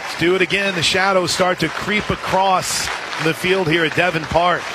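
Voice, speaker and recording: A man doing live radio play-by-play of softball, excitable, in short punchy calls from an outdoor stadium. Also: high-pitched, normal speed. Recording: live outdoor stadium broadcast, crowd noise. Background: loud crowd and ballpark ambience.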